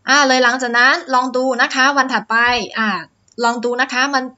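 A woman's voice: sits high, little pitch movement, brisk, sharp tone, muffled recording. A woman teaching stock chart reading step by step, with frequent "uh" fillers and polite sentence tags. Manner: explains step by step, many "อ่า" fillers and "นะคะ" tags. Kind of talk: screen-share stock chart lesson.

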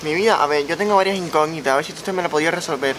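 Laid-back young Cuban man, high-pitched. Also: racing, a bit bright. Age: young adult. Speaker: prank caller, co-host. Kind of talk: casual chat. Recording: clear street ambient noise.

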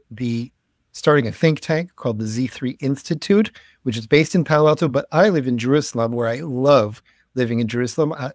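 A man in his fifties from the United States, introducing himself as a guest on a video call, listing his life in a loose way with many pauses. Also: medium pitch, no echo, studio quality. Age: 50s. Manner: rambling list of life events with many uhs. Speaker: guest, think tank founder. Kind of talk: casual spoken self-introduction. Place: home room.

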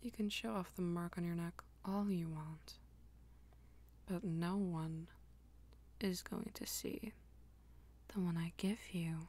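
A woman voicing a jealous, dominant vampire lover in intimate ASMR roleplay, mostly in a breathy whisper with a teasing, commanding manner. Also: on the low side, fairly even intonation, takes it slowly, long pauses, a little mellow. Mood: jealous, possessive. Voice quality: breathy, husky whisper with creaky edges.

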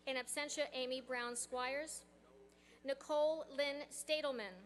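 A woman formally reading out graduates' names one by one at an outdoor commencement, in a measured announcing manner through a podium microphone. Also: high voice, fairly even intonation. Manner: measured, announcing each name evenly. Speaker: commencement name reader. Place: outdoor stage at a college ceremony.

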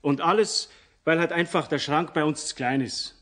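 An actor playing a plain-spoken, somewhat bewildered tiler with a Bavarian accent in a children's radio play. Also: male voice, on the high side, moderate pace, a bit bright, close to an everyday voice. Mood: matter-of-fact. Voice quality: husky. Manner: conversational, bewildered.

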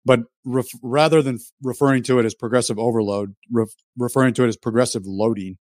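A man's voice: mid-range voice, steady tone, fast, a little mellow, no echo, studio quality. A man in his thirties explaining things casually as a guest on a fitness interview. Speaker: fitness YouTuber, podcast guest. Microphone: microphone on a video call.

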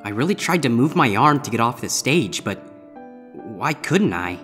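Man voicing an anxious young griffin, higher-pitched. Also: big pitch swings, normal speed, a bit bright, soft background music. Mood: anxious, puzzled. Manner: hesitant, uneasy, muttering. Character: anxious young male griffin student, grumbling, defensive. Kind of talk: fan fiction audiobook character voice.

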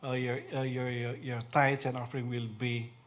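A man's voice: mid-range voice, little pitch movement, normal speed. A middle-aged man giving offering announcements at a church service, hesitant and full of fillers, at a lectern microphone. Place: church hall. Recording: dull livestream audio, faint room noise.

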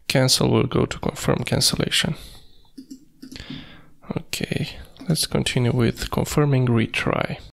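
A man with a heavily creaky, gravelly voice and a middling pitch walks through a screencast tutorial. He thinks aloud as he works, speaking in halting pieces and sometimes half murmuring to himself. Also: fairly even intonation, a bit bright. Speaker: coding tutorial presenter. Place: indoors at a computer, screen recording.

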